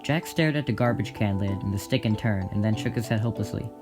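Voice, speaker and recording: A man's voice: on the low side, fast. A man narrating a scripted story in a dramatic style, with music behind his voice. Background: clear music.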